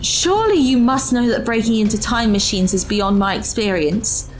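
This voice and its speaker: A woman's voice: on the high side, expressive, normal speed, a little hiss or hum, natural voice. An actress in an audio drama playing an earnest, loyal woman from the distant past, formal, hesitant and thoughtful. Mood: practical. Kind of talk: full-cast audio drama acting.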